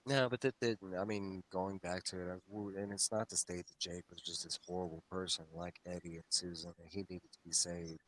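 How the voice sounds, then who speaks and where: A man's voice: deep voice, steady tone, brisk, hardly any pauses, fairly bright tone, poor audio. A man talking casually on a discussion show, enthusiastic and meandering, often circling back on his phrases as he explains. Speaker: host of a comics read-along discussion show.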